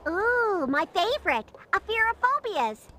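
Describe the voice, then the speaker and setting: A woman's voice: extremely high pitch, sing-song, a little slow, bright, forceful tone, exaggerated voice. A woman voicing a sassy, cute little sister creature in a cartoon video game. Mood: playful, know-it-all.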